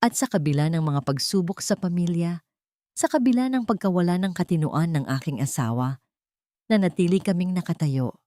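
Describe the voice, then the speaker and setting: A woman's voice: lowish voice, expressive, normal speed, mellow, no echo, studio quality, lightly acted. A woman voicing a smug corporate heir who gives a public speech with fake humility in a spoken audio drama. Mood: falsely humble. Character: smug corporate heir husband, falsely humble.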